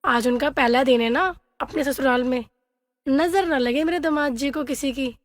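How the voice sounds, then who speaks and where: A gracious, doting middle-aged mother of the bride played by a woman in a family story. The voice is very high, with long reverb trailing it. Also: normal speed, close to an everyday voice. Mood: doting welcome.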